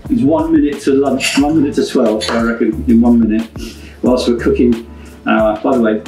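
A man's voice: medium pitch, steady tone, normal speed, mellow, far from the mic. An older man in his sixties talks through a cooking session in a roomy home kitchen. He explains things in a chatty, easygoing way and laughs often. He sits well back from the camera, with light room echo and music behind. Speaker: wine merchant vlogger. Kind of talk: casual cooking chat. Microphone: far camera.

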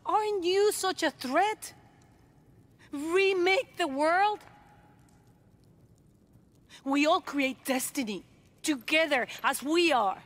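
A woman's voice: high-pitched, animated, slow, pauses often. An actress playing a steadfast, defiant young queen in a video game cutscene, speaking in a grave, resolute way. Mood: challenging.

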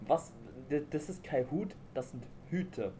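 A man's voice: a little high, lively intonation, a little slow, background noise. A man around twenty-one chatting with strangers on a video call, slangy, teasing and playful. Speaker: video chat vlogger. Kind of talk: casual joking chat with strangers. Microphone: webcam or computer mic on a video call.

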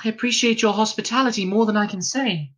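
A man reading a gentle fallen star for a storybook, the voice raised high and airy. Her words come soft and sincere, touched with a breathy lightness. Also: big pitch swings, slow pace, full character voice. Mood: gentle, grateful. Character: gentle, grateful fallen star, a young woman.

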